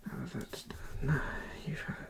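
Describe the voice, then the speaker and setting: A person doing an ASMR dentist roleplay in a soft, breathy, creaky whisper, giving calm and reassuring instructions to a patient. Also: takes it slowly.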